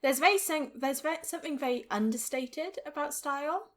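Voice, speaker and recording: A woman with a light Welsh accent co-hosts a podcast, chatting loosely and filling her sentences with "like." Her voice sits a little high. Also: moderate pace. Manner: chatty, laughs often, many "like" fillers. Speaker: podcast co-host. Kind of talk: casual chat.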